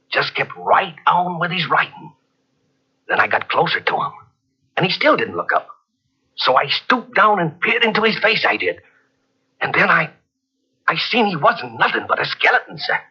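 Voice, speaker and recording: A spooked old sailor. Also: male voice, on the high side, husky, exaggerated voice. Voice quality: rustic dialect, earnest and deferential. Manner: excitable, urgent telling. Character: superstitious frightened old ship's sailor. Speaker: ship's crewman. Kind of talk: 1940s radio acting. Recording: lo-fi old radio recording from cassette.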